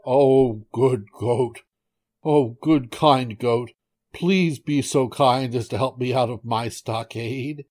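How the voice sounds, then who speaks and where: A man voicing a big savage tiger in a storybook, greedy yet easily frightened, pleading and flattering in drawn-out phrases. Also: medium pitch, gravelly, husky, exaggerated voice. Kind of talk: storybook character voice.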